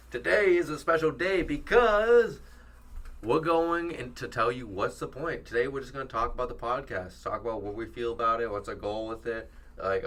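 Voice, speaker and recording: A man's voice: mid-range voice, fairly bright tone. A young man hosting a casual student podcast in a small studio, rambling and joking, his voice a bit worn from being sick. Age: young adult. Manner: rambling, jokey, quick laughs. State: losing his voice from illness. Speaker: podcast host. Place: small college radio studio.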